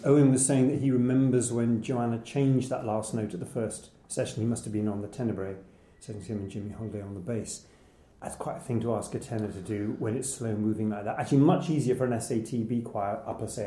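A man's voice: fairly low pitch, steady tone, fast talker, few pauses, mellow. A man co-hosting a podcast, chatting casually and enthusiastically about choral music. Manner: enthusiastic, jokey. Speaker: podcast co-host. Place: office room. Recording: echoey room.

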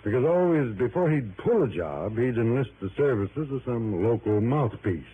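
An actor playing a cooperative, talkative male assistant warden in a vintage radio drama, earnest and deliberate. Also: medium pitch, big pitch swings, lightly acted. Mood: earnest, emphatic. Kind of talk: 1950s-60s radio drama acting. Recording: noisy, tinny vintage radio recording.

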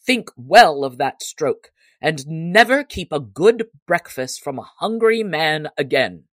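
A woman's voice: deep voice, big pitch swings, slow pace, no room sound, full character voice. Woman acting a bold, brash outlaw man. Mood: smug. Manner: archaic, boastful, playful speech.

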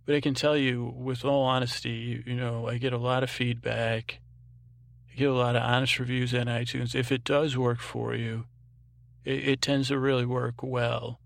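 A man's voice: medium pitch, little pitch movement, normal speed, creaky, no echo. A man hosting a bedtime podcast, talking in a droning, meandering monologue with hesitant false starts. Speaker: podcast host.

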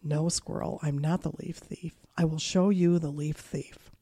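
A patient storybook bird, read aloud by a woman explains the world to a squirrel. The voice sits a little low and is calm and steady. The recording is close and dry, with no room sound. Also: a little slow, no echo.

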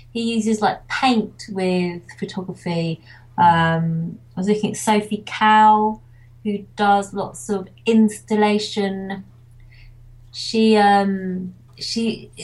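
A woman's voice: mid-range voice, darkish tone, low-quality recording. English woman wedding photographer, chatty interviewee, rambling and restarting. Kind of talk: casual interview.

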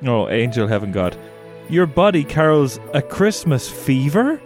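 A man's voice: mid-range voice, very animated, unhurried, a little mellow, faint music behind. A man co-hosting a comedy podcast with sweary, sarcastic banter and mock-serious announcing. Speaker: podcast co-host.

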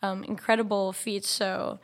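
A woman co-hosting a podcast, casually introducing a guest with a hesitant, halting delivery. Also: mid-range voice, flat delivery, heavy background noise. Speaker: podcast co-host.

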